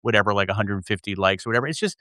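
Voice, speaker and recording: A man's voice: lowish voice, very fast, bright, forceful tone, no room sound, clean studio sound. A middle-aged American man hosting a casual chat interview. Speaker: show host.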